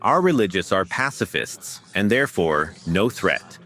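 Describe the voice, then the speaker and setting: A man playing an alien academic dean in an audio drama, explaining in a measured, formal way. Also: low voice, big pitch swings, pushed and bright, full character voice. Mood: solemn.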